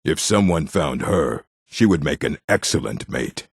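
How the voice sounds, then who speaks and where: An actor, a man, playing a surly, domineering orc with a gravelly, raspy voice and brusque delivery in a video game. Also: a bass voice, lively intonation, a little slow, no room sound, studio quality, exaggerated voice. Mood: gloomy, proud. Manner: curt, brusque. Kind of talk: video game character acting.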